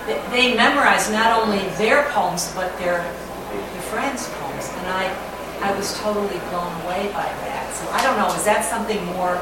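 A woman's voice: mid-range voice, moderate pace, few pauses. A woman in the audience asking questions in a chatty, friendly way with laughing asides. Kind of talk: Q&A after a poetry reading. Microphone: far from the mic. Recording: very echoey, distant, loud room noise.

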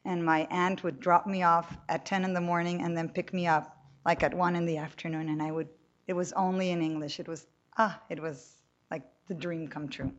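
Woman novelist, lively, chatty, low-ish voice, muffled event audio. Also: moderate pace. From Colombia. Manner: animated, talkative, frequent restarts. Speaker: novelist on a panel. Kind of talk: panel talk to an audience. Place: room with a live audience.

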